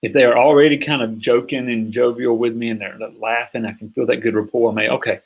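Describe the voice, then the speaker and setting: A husky middle-aged man delivers a sales lesson with a mid-range voice. He talks with energy and emphasis, and he keeps checking in with his listeners as he coaches. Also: medium pitch, fast, narrow, tinny audio. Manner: energetic, emphatic, acts out sample calls. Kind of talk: online course lecture.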